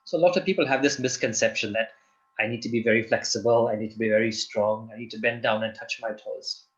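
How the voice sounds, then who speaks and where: Man from Zimbabwe as interview guest, calm teacherly explaining, muffled lo-fi sound. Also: mid-range voice, fast. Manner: calm, explanatory, often checks in with "yeah?". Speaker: guest, doctor and yoga teacher. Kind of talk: interview answers.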